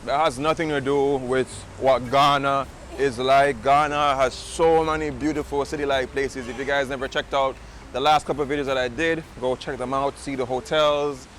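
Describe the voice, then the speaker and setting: Jamaican male vlogger, relaxed and jokey, outdoor street noise under his voice. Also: medium pitch, moderate pace. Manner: laid-back, laughs often, says "yo" and "facts". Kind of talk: casual travel vlog chat.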